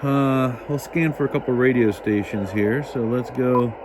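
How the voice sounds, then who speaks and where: A man giving a casual hands-on radio demonstration as host, thinking aloud in a halting way. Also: medium pitch, noisy background. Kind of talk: casual hands-on demonstration, talking while working.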